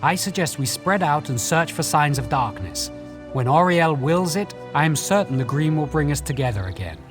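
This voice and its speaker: A man voicing a thoughtful quest ally in a fantasy game. His voice sits a little high and he speaks in an earnest, measured manner over faint music. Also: lively intonation, close to an everyday voice. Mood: earnest, counselling. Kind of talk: video game character dialogue.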